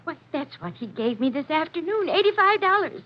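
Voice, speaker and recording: A woman's voice: sits high, big pitch swings, fairly quick. An actress playing a frantic, suspicious middle-aged mother, breathless and fretful, in a 1940s radio drama. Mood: frantic, accusing. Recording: muffled, noisy old radio recording.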